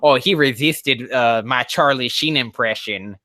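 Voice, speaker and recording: Bright-voiced man reading and riffing, close and dry. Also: mid-range voice, measured pace, dry recording. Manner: profane, exasperated, rambling asides. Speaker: streamer reading fan fiction aloud. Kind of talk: casual livestream reading with running critique.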